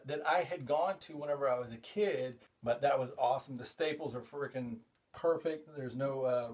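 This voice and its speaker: A man's voice: medium pitch, darkish tone. A middle-aged man from the United States hosting a casual vlog, rambling enthusiastically with lots of "uh" fillers as he shows items from his collection, recorded by a camera a few feet away in a room full of collectibles. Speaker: comic collector and show host. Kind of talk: casual show-and-tell vlog.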